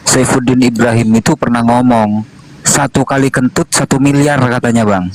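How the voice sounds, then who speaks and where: A man of middle pitch chiming into an online chat, relaxed and casual as he passes on a remark. Faint noise runs under him. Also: moderate pace, poor audio.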